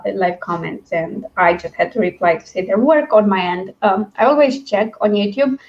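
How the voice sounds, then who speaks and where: A woman's voice: medium pitch, lively intonation, normal speed, muffled recording. A woman hosting a livestream book discussion in a casual, rambling, chatty way. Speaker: host of a book read-along livestream.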